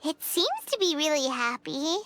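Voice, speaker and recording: A woman voicing a tiny floating girl companion who is chirpy, curious and excitable, and who calls herself by name. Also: normal speed, loud music behind the voice. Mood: excited. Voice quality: high and squeaky. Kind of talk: video game voice acting. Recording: loud background noise.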